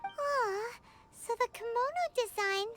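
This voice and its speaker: A woman voicing a tiny, excitable childlike fairy companion in a video game, with a squeaky, bubbly delivery. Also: unhurried. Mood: earnest, curious. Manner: refers to self by name, bubbly. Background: game music.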